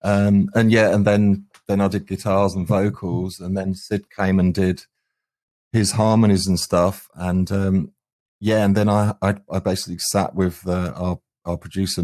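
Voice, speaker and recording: Man, low-pitched voice, band frontman, conversational interview answers. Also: dark tone. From England. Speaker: band frontman, singer and guitarist.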